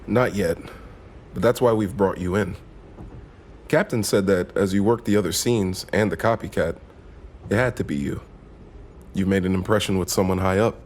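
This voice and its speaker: Man playing a deep-voiced, tired police sergeant. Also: normal speed, pauses often, darkish tone, a little hiss or hum, lightly acted. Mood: businesslike. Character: tired male police sergeant, businesslike and collegial. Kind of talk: crime audio drama dialogue.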